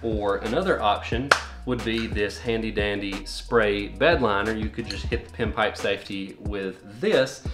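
A man's voice: on the low side, unhurried. A young man hosting a home gym channel, explaining do-it-yourself equipment in a practical, matter-of-fact way in a garage gym. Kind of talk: casual how-to explanation. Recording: echoey room with faint music.

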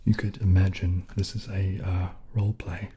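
A young man speaking softly and unhurriedly in casual ASMR style, partly whispering, with some hesitation. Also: deep voice, level tone. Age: young adult. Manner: soft-spoken, hesitant, unhurried. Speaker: ASMR video creator. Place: small dim indoor room. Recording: clearly audible background noise, added rain.

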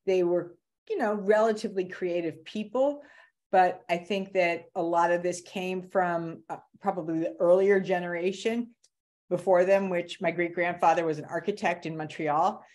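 A middle-aged woman from the Boston area, a career strategist talking casually as a guest on a video call, animated and restarting her words. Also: fairly low pitch, moderate pace. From Boston area, USA. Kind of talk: casual interview talk. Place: home room with shelves.

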